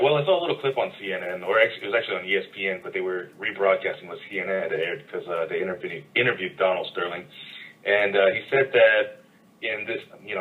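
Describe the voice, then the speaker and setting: A man co-hosting a podcast, talking casually and setting out his opinions in a measured way. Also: fairly low pitch, far from the mic, narrow, tinny audio. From United States. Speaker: podcast co-host. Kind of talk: casual chat.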